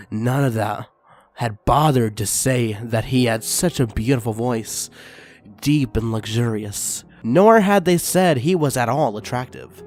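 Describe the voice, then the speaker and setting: A man narrating a fan fiction story aloud in a steady, expressive reading voice. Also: mid-range voice.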